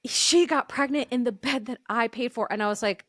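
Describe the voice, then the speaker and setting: Loud, animated woman with a higher voice. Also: a little fast, no echo, studio quality. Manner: animated and opinionated, frequent "like". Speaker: podcast co-host. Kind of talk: casual chat.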